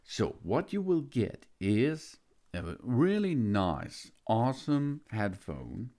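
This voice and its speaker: A man giving an unboxing walkthrough of a gadget, hesitant, with many filler pauses. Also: medium pitch, big pitch swings, warm tone. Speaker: product reviewer.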